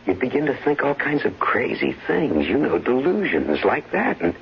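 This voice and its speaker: An actor playing an evasive, anxious husband in a 1970s radio drama, earnest, hesitant and placating. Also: male voice, medium pitch, wildly swooping pitch, normal speed, vocal fry. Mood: placating concern. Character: anxious Broadway-star husband, evasive, placating, weak-willed. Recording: dull, noisy, humming vintage radio recording.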